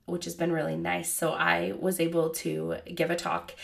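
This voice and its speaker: A woman in her thirties hosting her own podcast as a child therapist, chatting casually with lots of "like" and "you know", a little hoarse from a cold. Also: lowish voice, monotone, normal speed. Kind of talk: casual solo podcast talk.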